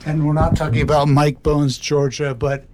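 A woman's voice: deep voice, little pitch movement, moderate pace, over a noisy crowd. A woman hosting a casual chat, encouraging and upbeat as she brings in her guests. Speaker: radio host.

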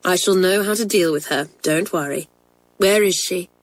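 Woman voicing a calm, kind headmistress. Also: fairly low pitch, lightly acted, rough recording. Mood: gentle. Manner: calm, measured, soft-spoken. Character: kind, gentle female headmistress, middle-aged. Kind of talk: storybook reading.

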